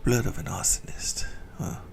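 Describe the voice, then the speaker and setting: A man voicing an obsessive, doting vampire in an intimate ASMR roleplay, with a breathy whisper and theatrical, adoring relish. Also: normal speed, soft background music, close to an everyday voice. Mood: indulgent, picky. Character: obsessive lovesick male yandere vampire, doting, gleefully indulgent.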